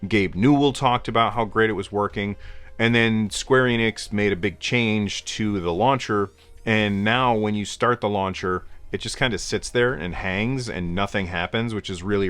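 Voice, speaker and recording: A man in his forties, hoarse and stuffed up from a cold, hosting a gaming news show in a chatty way with many fillers. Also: fairly low pitch, fairly bright tone, no room sound. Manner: gestures with his hands while talking. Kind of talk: casual video commentary. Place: home game room lit with colored lights.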